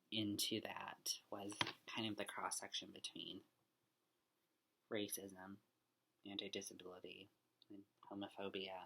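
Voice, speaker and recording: Low-voiced young man, earnest and breathy. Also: sharp tone, a little hiss or hum, poor audio. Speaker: student. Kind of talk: casual spoken reflection on a book.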